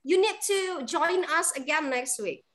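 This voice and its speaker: A woman's voice: high-pitched, lively intonation, a bit bright. A woman English teacher with an Indonesian accent, giving chatty, encouraging feedback at the end of an online class over a video call. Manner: encouraging, chatty, laughs often, fills pauses with um.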